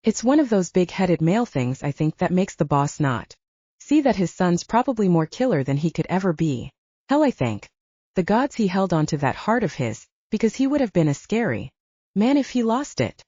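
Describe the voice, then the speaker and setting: A woman's voice: lowish voice, sing-song, moderate pace, dark tone, slightly rough, dry recording, muffled recording. A woman voicing a jaded young male mercenary who talks bluntly and swears offhandedly.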